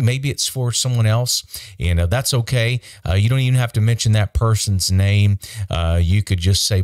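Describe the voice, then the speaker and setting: Low-voiced middle-aged male pastor. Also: no room sound, studio quality. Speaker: pastor hosting an online Bible study. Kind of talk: informal devotional talk to camera. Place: small indoor studio set. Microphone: close broadcast microphone on a boom arm.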